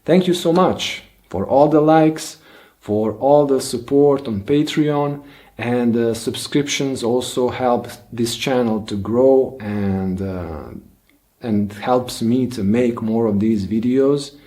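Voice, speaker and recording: A man whose first language is Bosnian, talking slowly and thoughtfully to his channel's viewers, with many pauses and restarts. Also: mid-range voice, warm tone. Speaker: channel host. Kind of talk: reflective solo monologue.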